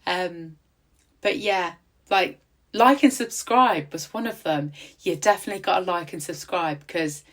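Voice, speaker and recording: A tattoo artist with a croaky voice, chatting casually in a rambling voice-over with many ums, recorded outdoors in quiet countryside. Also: female voice, lowish voice, a little slow.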